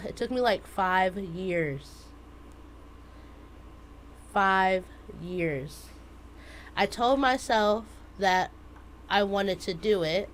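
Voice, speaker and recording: A woman in her twenties, a math teacher chatting casually as a guest, telling stories in an animated way. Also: mid-range voice, a little hiss or hum. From United States. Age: 20s. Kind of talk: casual interview chat.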